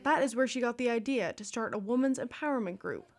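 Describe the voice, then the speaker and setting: A young woman reporting a news feature, narrating calmly and deliberately. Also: on the high side. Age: young adult. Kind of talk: news package voice-over.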